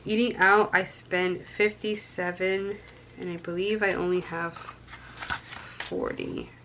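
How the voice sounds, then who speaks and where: A woman's voice: lowish voice, fairly even intonation, slow pace, background noise. A young woman with a stuffy nose from a cold, chatting casually to camera and counting cash aloud with frequent ums. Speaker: budgeting vlogger.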